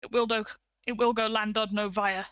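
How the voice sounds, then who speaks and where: A woman's voice: medium pitch, level tone, normal speed, fairly bright tone, clear, resonant tone. A woman, explaining things casually as a co-host in an informal talk.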